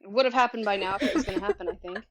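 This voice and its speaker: A woman co-hosting a fan podcast, talking in a relaxed way with many fillers and soft agreement. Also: mid-range voice, very fast, no echo, clean studio sound. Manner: relaxed, lots of "like" and murmured agreement. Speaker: podcast co-host. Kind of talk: casual fan chat.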